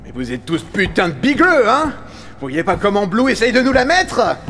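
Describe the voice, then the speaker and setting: A man voicing a hot-tempered, sarcastic, foul-mouthed astronaut crewmate in an animated fan dub. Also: high voice, moderate pace, a little hiss or hum. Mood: aggressive. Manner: sarcastic, crude, accusatory.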